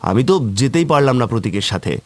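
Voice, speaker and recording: A man voicing a blunt, suspicious villager in a cartoon, brusque, excitable and quick to challenge. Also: mid-range voice, dry recording, natural voice. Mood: defensive. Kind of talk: cartoon character acting.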